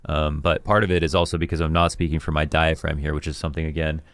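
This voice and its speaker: A man with a deep voice, an esports commentator candidly reviewing his own work in a rambling, self-critical way. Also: little pitch movement, fast talker, a bit bright, no echo, clean studio sound. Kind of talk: reflective talk reviewing his own casting.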